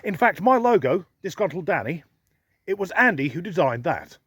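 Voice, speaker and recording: A man's voice: high voice, very animated, normal speed, a bit bright, breathy edge, no echo. An English man vlogging to a handheld camera, grumbling with dry, sweary humor. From England. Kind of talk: sardonic vlog monologue. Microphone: handheld camera filming himself.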